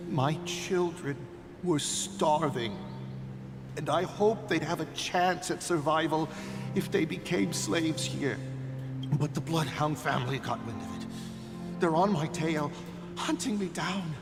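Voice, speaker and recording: A man's voice: on the high side, unhurried. An actor playing a frightened, pitiful father who stammers as he pleads. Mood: desperate, pleading. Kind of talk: melodramatic game voice acting. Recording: echoing hall, loud music behind.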